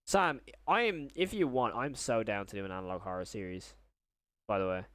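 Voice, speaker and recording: A man's voice: fairly low pitch, a little fast, fairly bright tone, no room sound. A young man chatting casually on a live stream as he reacts to videos and chat, rambling and often rephrasing himself mid-thought.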